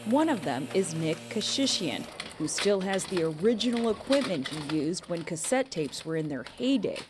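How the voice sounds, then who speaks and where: A woman's voice: fairly low pitch, sing-song, moderate pace, noisy background. A woman with an American accent reading scripted narration as a news correspondent. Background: background music.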